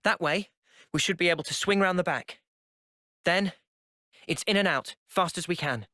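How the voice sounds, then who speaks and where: A man playing a thoughtful, earnest young soldier in a video game, speaking in a measured, reflective way. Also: sits high, pushed and bright, dry recording, studio quality. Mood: calm, decisive. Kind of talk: video game cutscene voice acting.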